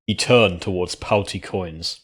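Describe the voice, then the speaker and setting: A man's voice: low voice, unhurried, crowd noise. A man reading scripted fantasy fiction as its narrator. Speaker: story narrator.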